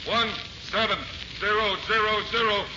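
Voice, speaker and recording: An actor playing a tense military lookout in a 1940s radio drama, calling out clipped reports. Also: male voice, high voice, level tone, moderate pace, close to an everyday voice. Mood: tense, alert. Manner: shouting out reports in clipped phrases. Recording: muffled, hissy 1940s radio recording.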